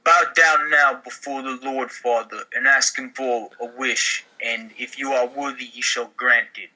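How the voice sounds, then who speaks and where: A man's voice: mid-range voice, steady tone, measured pace, bright, forceful tone, low-quality recording. A man reading a comedy script aloud among friends, with informal, wisecracking improvised asides. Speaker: podcast cast member reading a script.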